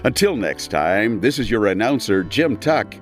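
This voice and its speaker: Animated low-voiced male announcer over guitar. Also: normal speed. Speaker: podcast announcer. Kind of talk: scripted podcast credits announcement. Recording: guitar theme music under voice. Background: blues guitar theme music.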